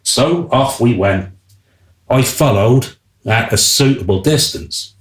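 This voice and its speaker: A man plays a royal groom narrating a comic radio drama. His mid-pitched voice is theatrical and wry, moving expressively up and down, with noticeable echo. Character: wry male royal groom telling the tale. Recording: roomy, echoing sound.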